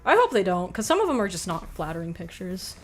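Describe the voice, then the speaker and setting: A young woman hosts a livestream in a soft, quiet voice. She rambles through chat with frank, offhand remarks and plenty of "like". Faint music plays behind her. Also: on the low side, very animated, quick pace. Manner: rambling, frank, laughs often, says "like" a lot. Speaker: livestream host reading chat. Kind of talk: casual chat.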